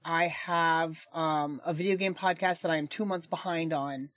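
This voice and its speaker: A woman's voice: low-pitched, steady tone, normal speed, fairly bright tone, no room sound. A woman co-hosting a podcast in casual chat, rambling with lots of "like"s and laughing often. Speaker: podcast co-host and editor. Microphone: home podcast mic.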